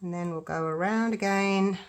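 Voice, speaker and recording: A woman's voice: medium pitch, moderate pace. Chatty Australian woman with a blocked nose. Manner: chatty, self-deprecating, thinking aloud while working. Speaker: resin art tutor. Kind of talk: casual craft tutorial.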